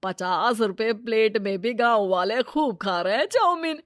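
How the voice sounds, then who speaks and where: A woman voicing a greedy, crafty male village moneylender in a Hindi cartoon moral story, brazen and given to drawn-out exclamations. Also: on the high side, expressive, no room sound, clean studio sound. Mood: gloating.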